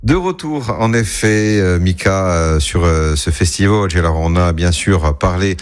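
Very deep-voiced male radio host. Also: animated. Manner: halting, frequent fillers. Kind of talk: radio interview.

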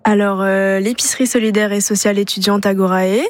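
A woman's voice: mid-range voice, level tone, a little fast. A young woman, a student charity vice-president, answering radio interview questions hesitantly, with frequent filler sounds.

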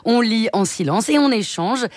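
A woman's voice: fairly high pitch, lively intonation, normal speed. A woman presenting a light scripted radio column in a wry, conversational way.

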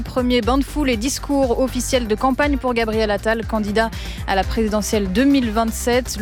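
A woman anchors a radio news bulletin, reading crisply and at a measured rate. Her voice is a little higher than most, and a faint bed of music sits under her.

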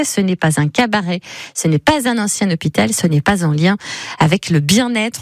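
A woman with a fairly high voice hosts a radio quiz, chatting brightly with callers and tossing in quick interjections. Her voice is close and dry in a studio. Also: dry recording. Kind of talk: lively radio phone-in game.

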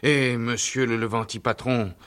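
A man's voice: mid-range voice, steady tone, measured pace, fairly bright tone, voice pushed up, natural voice. A man voicing Candide, a naive, earnest young man, in a storybook reading; he grows exclamatory when moved. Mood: excited.

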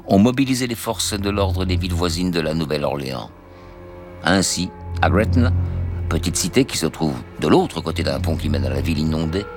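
A man narrating a documentary in a measured, serious tone. His voice is very deep. Music plays clearly behind him.

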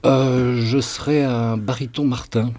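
A man's voice: medium pitch, fairly even intonation, a little slow, darkish tone. A middle-aged man, a singer being interviewed on radio, talking in a hesitant way with many restarts and fillers. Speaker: guest singer.

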